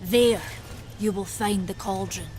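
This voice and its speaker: A woman's voice: mid-range voice, a little slow, darkish tone, natural voice. Lady doing proud stern fantasy maker woman, stately formal talk, music behind, game cutscene. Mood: instructing. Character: proud, resolute female maker of a fantasy forge. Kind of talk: video game cutscene acting.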